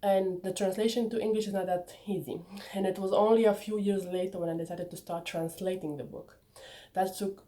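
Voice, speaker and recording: A woman's voice: on the low side, warm tone. A young woman whose first language is Bulgarian, talking chattily and eagerly to her viewers about her book. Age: young adult. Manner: enthusiastic, chatty, sometimes personal and reflective. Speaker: author presenting her book. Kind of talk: casual vlog talk to viewers.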